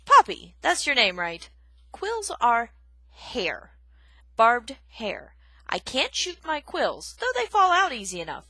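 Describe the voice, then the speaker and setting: A woman voicing a grumpy, loud old male porcupine who blusters and shouts in a storybook reading. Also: on the high side, huge pitch swings, takes it slowly, no room sound, voice pushed up, full character voice. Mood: gruff.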